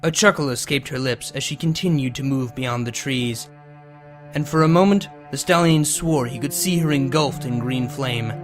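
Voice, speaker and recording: A man's voice: mid-range voice, moderate pace. A man who reads fan fiction aloud and hosts his own channel. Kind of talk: dramatic scripted story narration, then casual outro. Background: music.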